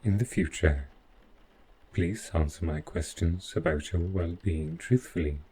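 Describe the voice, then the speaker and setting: A man playing a devoted, overprotective robot butler in a scripted audio roleplay, speaking in formal, measured, literal phrases. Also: deep voice, animated, mellow, a little hiss or hum. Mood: formal, caring.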